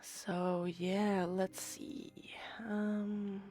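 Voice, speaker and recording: A woman with a stuffy, congested voice gives casual let's-play commentary. She rambles along at an unhurried pace. Faint game music plays behind her. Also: medium pitch, little pitch movement, dark tone. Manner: rambling, chatty. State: stuffy nose from a cold. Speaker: streamer.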